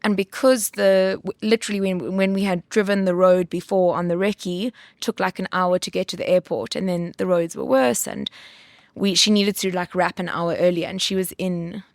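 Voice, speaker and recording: A woman's voice: on the low side, steady tone, moderate pace, no room sound, clean studio sound. A woman producer from South Africa, telling stories in a casual podcast interview, laughing often. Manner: chatty, storytelling, laughs often. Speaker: film producer, guest.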